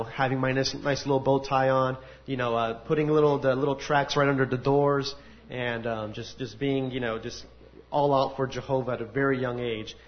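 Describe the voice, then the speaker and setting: A man of ordinary pitch gives a personal testimony to an audience in a large room. He tells his story earnestly and with animated energy. The recording has a dull, lo-fi sound. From United States. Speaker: speaker giving a testimony. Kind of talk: personal testimony at a faith gathering.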